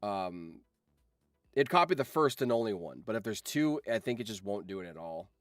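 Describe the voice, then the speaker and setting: A man's voice: on the low side, big pitch swings, normal speed, no room sound, studio quality, soft background music. A man chatting casually on a livestream as a video editor, rambling along and swearing freely.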